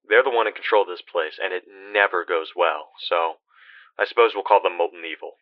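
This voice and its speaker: A low male voice comes through dull, lo-fi audio. A man acts out a guilt-ridden, trapped soul in a horror game, confiding in halting, weary phrases. He sounds like a desperate older brother who swears as he talks. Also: dry recording, lightly acted. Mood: tense, urgent. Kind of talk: horror game phone-call voice acting.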